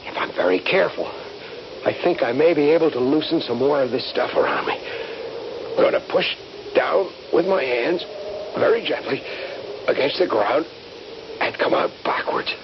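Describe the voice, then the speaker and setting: An actor playing a steady, cautious soldier who reassures his buddy, earnest and careful, in a radio drama. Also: a little high, moderate pace, pauses often. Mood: urgent, careful. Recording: dull, noisy old radio broadcast.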